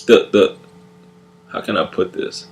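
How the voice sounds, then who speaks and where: A young man speaks slowly through a stuffed-up nose, and his voice comes out nasal. He talks in a loose, reflective way, often repeats words and starts his sentences over. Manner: halting, many repeated words and restarts. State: congested, nasal. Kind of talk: casual spoken reflection on faith.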